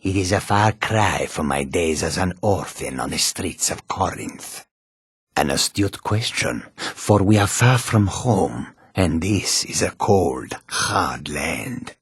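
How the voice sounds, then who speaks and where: Low, husky cat-folk trader. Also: male voice, expressive, no echo, studio quality, full character voice. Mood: shrewd, measured. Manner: measured, formal, archaic phrasing. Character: male cat-folk caravan trader, courteous, wary, homesick. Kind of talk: fantasy video game character dialogue.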